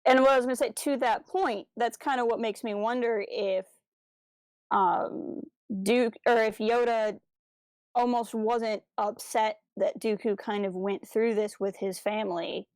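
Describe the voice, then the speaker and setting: A woman's voice: fairly high pitch, steady tone, unhurried, darkish tone, no room sound. A woman co-hosting a podcast, talking through ideas in a casual, discursive way. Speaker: podcast co-host.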